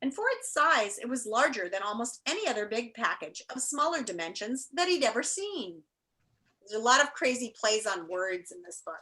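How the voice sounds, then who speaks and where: A woman reading a children's book aloud expressively, with measured, dramatic phrasing and casual asides. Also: on the high side, big pitch swings, sharp tone. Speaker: read-aloud storyteller. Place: indoor room.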